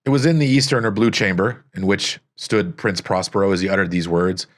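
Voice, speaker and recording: A male audiobook narrator with a fairly low voice reads a gothic tale. His delivery is scripted, solemn and dramatic, with deliberate phrasing.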